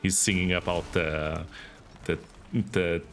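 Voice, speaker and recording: A man from Austria with a deep baritone voice and an Austrian accent, chatting casually and giggling as he hosts a game livestream. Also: slow pace, faint music behind. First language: German. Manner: giggly, expressive. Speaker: streamer hosting a game stream. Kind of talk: casual livestream chat while gaming.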